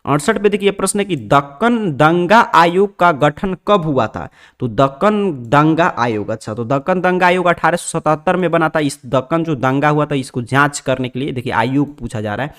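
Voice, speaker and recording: Male exam-prep teacher, Bihari accent, slightly high voice, methodical question-then-answer delivery. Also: normal speed, hardly any pauses, a bit bright. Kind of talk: exam question-and-answer walkthrough, explanatory lecture.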